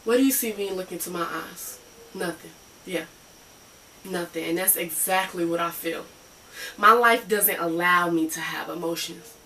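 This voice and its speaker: A woman acts out a broken, guarded woman in a therapy-session drama monologue. Her tone is bright and forceful, confrontational and sarcastic, with raw bitterness. She sounds a little way from the microphone. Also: lowish voice, moderate pace, far from the mic, slight background noise, poor audio. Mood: cold, numb.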